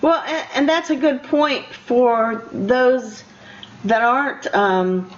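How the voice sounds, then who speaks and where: An older woman interviewing a guest casually as a radio host, in a halting, rambling, encouraging way. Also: on the high side. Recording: dull lo-fi radio interview audio.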